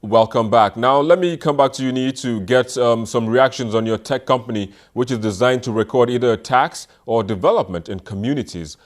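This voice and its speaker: A man hosting a television interview, putting brisk, direct questions to his guests. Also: mid-range voice. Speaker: television host.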